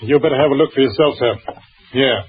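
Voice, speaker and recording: A man's voice: medium pitch, fast, breathy edge, lightly acted. An actor playing a respectful, matter-of-fact male police sergeant in 1940s radio drama. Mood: dutiful. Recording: tinny old radio recording.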